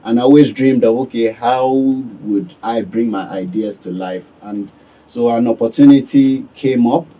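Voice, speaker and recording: A Nigerian man giving calm, measured answers as a guest in a radio studio. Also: mid-range voice, far from the mic, poor audio. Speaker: business owner guest.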